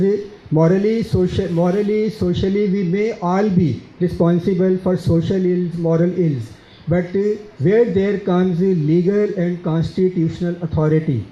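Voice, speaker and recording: A man's voice: high voice, mellow. Pakistani man in an echoey hall. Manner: halting, with many fillers and repeated words. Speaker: conference speaker. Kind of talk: answering audience questions. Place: conference hall. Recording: dull, echoey conference hall.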